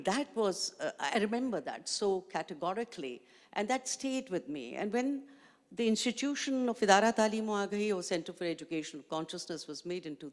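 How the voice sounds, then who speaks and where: A middle-aged woman from Pakistan whose first language is Urdu, speaking as a panelist in a panel discussion with reflective storytelling. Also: mid-range voice. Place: large conference hall stage. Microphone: handheld mic. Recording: long hall reverb.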